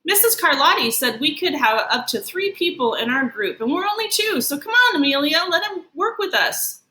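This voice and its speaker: A woman's voice: sits high, expressive, moderate pace, pushed and bright, echoey room, voice pushed up, poor audio. A woman voicing a friendly, eager new schoolboy in a storybook, kind and encouraging.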